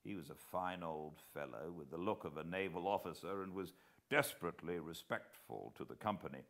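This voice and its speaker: A middle-aged British man giving a measured, expressive dramatic reading of a story as an audiobook narrator. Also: low voice, gravelly. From Britain. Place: living room armchair beside a fireplace.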